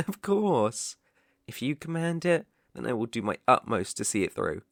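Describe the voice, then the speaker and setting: A man plays a devoted British butler in an ASMR roleplay. He speaks in a mid-pitched male voice with formal, deferential phrasing and a softly attentive manner. The sound is close and dry, with no room around it. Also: very animated, moderate pace, gravelly, no echo. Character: polite, devoted young male butler, gently teasing.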